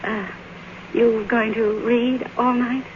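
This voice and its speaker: An actress playing an aging silent film queen in a radio drama, grand, fragile and possessive, with halting theatrical delivery. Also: a little high, level tone. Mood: anxious, coy. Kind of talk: 1950s radio drama acting. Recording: noisy, dull old radio broadcast recording.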